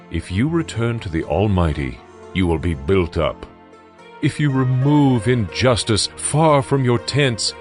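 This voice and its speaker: A man playing an admonishing biblical counsellor who urges repentance in a declamatory, preaching way, for a dramatized audio Bible. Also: mid-range voice.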